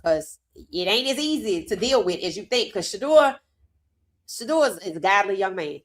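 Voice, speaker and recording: A woman hosting a sports commentary livestream, hoarse from talking, animated and emphatic with casual slang. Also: mid-range voice, normal speed. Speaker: host.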